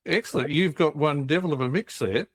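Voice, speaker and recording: A man's voice: a little high, brisk, husky. A man hosting a business podcast, interviewing in an upbeat, jovial way. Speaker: podcast host. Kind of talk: casual interview chat.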